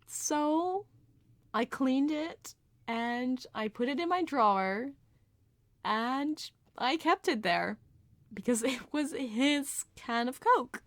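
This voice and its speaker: Female ASMR storyteller, casual and confessional, unhurried delivery with a light giggly touch, very dry sound. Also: high-pitched, lively intonation, no echo.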